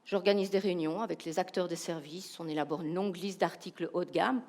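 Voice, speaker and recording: A woman with a Belgian French accent giving a humorous, animated keynote talk, asking the audience rhetorical questions. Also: lowish voice, fast talker. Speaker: keynote speaker, professional coach.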